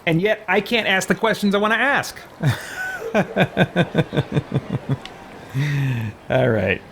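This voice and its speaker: A man's voice: high-pitched, lively intonation, very slow, creaky, background noise. A man hosting a casual roleplay let's play, thinking aloud hesitantly as he comments. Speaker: gaming channel host.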